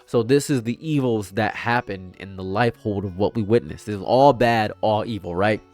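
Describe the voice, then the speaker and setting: A man's voice: lowish voice, moderate pace, a little mellow, faint music behind. An American man of around thirty giving casual, impassioned political commentary in an emphatic, conversational way, often repeating himself and checking in with the listener. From United States (Detroit and Toledo). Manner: emphatic, conversational, repeats phrases and tags them with "right?".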